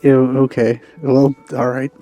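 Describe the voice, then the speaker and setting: Mellow, low-key let's player. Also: flat delivery, a little slow. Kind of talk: casual gameplay commentary. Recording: close dry mic over game music.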